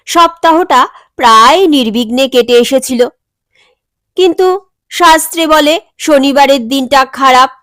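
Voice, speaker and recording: A woman's voice: high-pitched, a little slow, fairly bright tone, no room sound, clean studio sound. A woman reading a scripted comic family story as its narrator.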